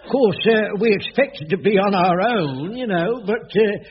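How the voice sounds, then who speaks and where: An actor playing a fussy middle-aged caretaker who stammers and hesitates in a radio sitcom. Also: male voice, high voice, expressive, a little slow. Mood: flustered. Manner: stammering, flustered, full of hesitations. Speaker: sitcom character. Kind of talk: 1980s studio radio sitcom acting. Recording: dull old radio recording.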